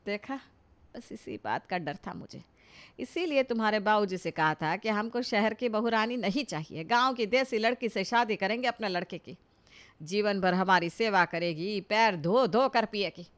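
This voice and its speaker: A woman playing a nagging, sharp-tongued older village mother-in-law in a cartoon family comedy, scolding and sarcastic. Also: medium pitch, moderate pace, natural voice. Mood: bitter grumbling. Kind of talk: Hindi animated family comedy story acting.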